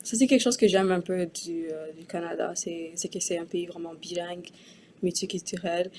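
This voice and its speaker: A woman's voice: lowish voice, fairly even intonation, normal speed, dark tone, a little hiss or hum. A young woman student answering hesitantly.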